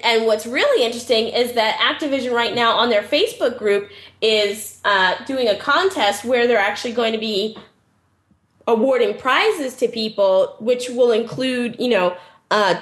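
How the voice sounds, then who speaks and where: High-voiced excitable woman podcast host. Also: normal speed. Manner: chatty, excitable, swears casually. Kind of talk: casual podcast chat.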